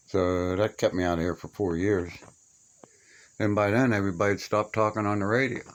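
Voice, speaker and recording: Older man with a low, folksy voice. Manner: plainspoken, folksy grammar. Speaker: radio hobbyist showing his collection. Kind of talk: casual chat, reminiscing. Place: small upstairs room being stripped to studs.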